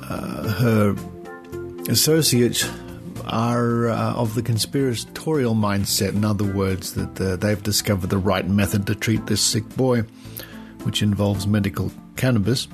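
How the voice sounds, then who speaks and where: An Australian man hosting a podcast, reading news and commentary in measured, deliberate phrases. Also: on the low side, fairly even intonation, music in the background. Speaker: podcast host. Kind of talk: scripted news commentary, reading reports aloud.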